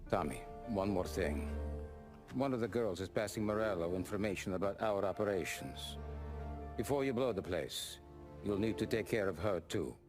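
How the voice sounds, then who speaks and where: A man's voice: lowish voice, animated, normal speed, over music, lightly acted. An actor playing a composed, businesslike American mafia adviser briefing his men in a dramatic game scene. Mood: measured, serious. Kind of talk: dramatic video game cutscene acting.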